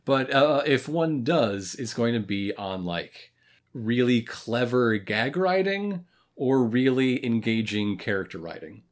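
Casual review podcaster, thirties, medium pitch with very lively swings, thinks aloud with pauses. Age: 30s. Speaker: podcast co-host. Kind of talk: casual review chat about a cartoon.

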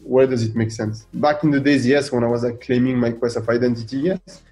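A middle-aged man with a French accent, speaking with a dark, mellow tone at an ordinary pitch. He talks animatedly as a radio guest and peppers his points with rhetorical questions. Faint music plays underneath. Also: brisk. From France. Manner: animated, fires rhetorical questions, acts out quoted voices. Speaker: guest artist. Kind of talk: radio interview.

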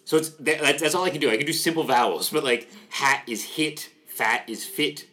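A man with a Canadian accent chatting casually as a podcast co-host, animated and playfully nerdy. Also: on the high side, moderate pace. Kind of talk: casual chat.

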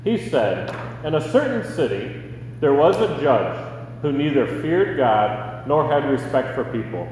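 An American man reading scripture aloud in church in a measured, formal manner. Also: medium pitch, very animated, a little mellow. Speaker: scripture reader. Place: large indoor church. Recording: very echoey, distant, noisy hall.

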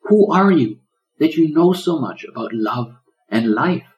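A man acts out a tiny young turtle from a storybook. He sounds innocent and wonderstruck, pitched up high, with eager, adoring exclamations. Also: lively intonation, a little slow, a little mellow, reverberant, low-quality recording. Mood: wondering.

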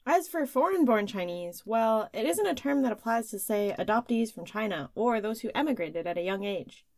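A woman co-hosting a podcast, reading narration with an expressive, upbeat delivery. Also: fairly high pitch, normal speed, vocal fry, no echo. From United States. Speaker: podcast host.

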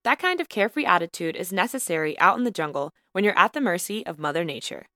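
A woman narrating a student podcast episode in a measured, lightly wry scripted style. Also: on the low side, big pitch swings, pushed and bright, no room sound, clean studio sound. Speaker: podcast host and student reporter.

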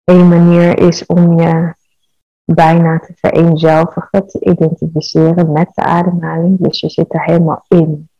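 A woman leading a guided meditation in a calm, measured, soothing manner. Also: deep voice, no room sound, lo-fi sound.

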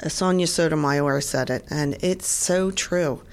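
A woman's voice: sits low, a little slow, a little mellow, clean tone. A woman working in admissions, speaking emphatically and with conviction in an interview. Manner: emphatic, heartfelt. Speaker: admissions director. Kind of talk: interview answer.

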